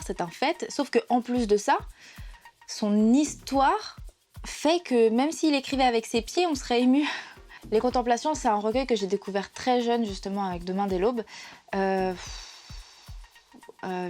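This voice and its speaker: A woman's voice: mid-range voice, warm tone. A woman presenting a literature vlog in a casual, playful and emotional way, often hesitating with fillers and restarts.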